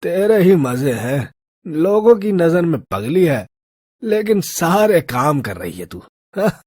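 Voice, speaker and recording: A woman voicing a drunken, lecherous male street thug with a husky, coarse, threatening way of talking, in an audio story. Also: lowish voice, sing-song, slow, darkish tone, dry recording, studio quality, exaggerated voice. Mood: leering, taunting.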